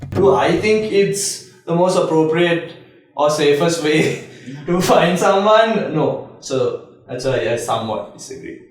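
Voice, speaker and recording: Mid 20s guy chatting casually, voice a bit high, lots of room echo, mic far off. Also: lively intonation, measured pace, soft background music. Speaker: participant in a couples discussion. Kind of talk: casual group discussion. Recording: echoey room, distant mic.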